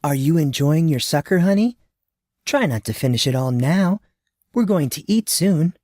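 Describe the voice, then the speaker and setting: A man's voice: on the high side, lively intonation, normal speed, darkish tone, dry recording, studio quality, pitched above the performer's own voice, natural voice. A man voicing a sweetly dismissive mother talking down to her young child, coaxing and a little dreamy. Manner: coaxing, patronizing.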